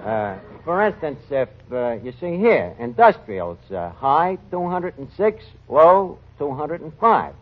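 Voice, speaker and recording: Slangy, high-pitched New tavern manager. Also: male voice, very animated, unhurried, few pauses. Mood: self-important. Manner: slangy, ungrammatical, mock-important. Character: scheming, malapropism-prone tavern manager, streetwise blusterer. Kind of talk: 1940s radio comedy acting. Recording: dull old 1940s radio recording.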